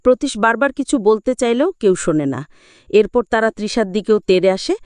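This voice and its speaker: A woman's voice: mid-range voice, lively intonation, moderate pace, darkish tone, dry recording, studio quality. A woman reading a scripted, dramatic retelling of a film as a movie explainer. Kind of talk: scripted dramatic story narration.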